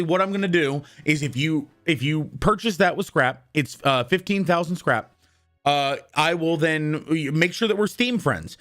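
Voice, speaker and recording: A man with a worn-out, husky voice chatting casually with his live-stream viewers and shouting greetings to newcomers. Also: fairly high pitch. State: hoarse, worn-out voice.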